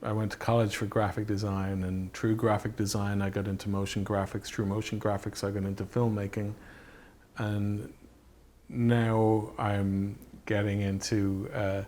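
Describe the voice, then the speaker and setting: A man reminiscing in a documentary interview as a filmmaker, in a halting, thoughtful way with frequent pauses. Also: lowish voice. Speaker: film director and effects artist being interviewed. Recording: steady background noise.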